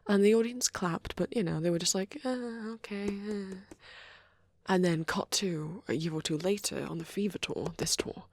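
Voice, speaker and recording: A woman voicing a shy young male vampire boyfriend in an ASMR roleplay, rambling softly and haltingly in a breathy near-whisper, with stammers and restarts. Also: medium pitch, no room sound, close to an everyday voice. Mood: earnest, enthusiastic. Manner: hesitant, flustered, halting, with many stammers and trailing restarts.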